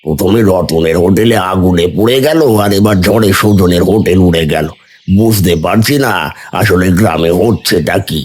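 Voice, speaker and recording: A man voicing a greedy, scheming middle-aged village hotel keeper in a Bengali cartoon, loudly exclaiming and wailing. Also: deep voice, normal speed, slightly rough. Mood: worried. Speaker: hotel owner.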